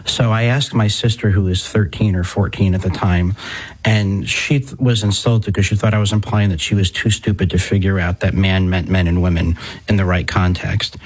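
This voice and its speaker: A man's voice: low-pitched, fairly even intonation, moderate pace, slightly rough, rough recording. A middle-aged man hosting a talk radio show, telling stories in a wry, sardonic way.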